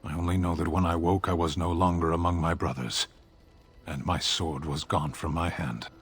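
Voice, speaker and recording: A man playing a grave, proud foreign warrior in a video game, speaking in terse, measured, formal sentences. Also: sits low, fairly even intonation, fairly bright tone, husky. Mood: grave, confessional. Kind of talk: video game dialogue acting. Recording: music behind the voice. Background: game music.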